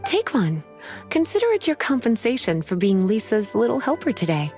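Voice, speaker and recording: A woman voicing a languid, teasing adult librarian mage in a video game, speaking in a slow, drawling way. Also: medium pitch, sing-song, darkish tone, lightly acted. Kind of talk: game voice acting. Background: faint game music.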